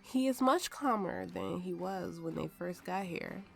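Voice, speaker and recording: A woman's voice: on the low side, expressive, measured pace, gravelly, full character voice. A woman voicing a drunken, playful and imperious warrior woman in an ASMR roleplay. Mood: delighted, tipsy. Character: tipsy, haughty, flirtatious warrior woman with a slave. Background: soft background music.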